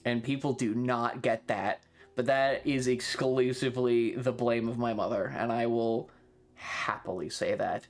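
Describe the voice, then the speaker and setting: A man chatting casually on a livestream, rambling and halting, swearing freely as he talks. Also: mid-range voice, fairly even intonation, soft background music. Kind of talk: casual rambling stream chat.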